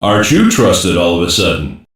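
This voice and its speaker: A gruff special-ops cyborg soldier from an anime dub. His low voice delivers blunt, slangy wisecracks with a sardonic edge. The sound is heavily echoed and sits far from the mic. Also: big pitch swings, normal speed, fairly bright tone. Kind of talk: English-dub anime voice lines.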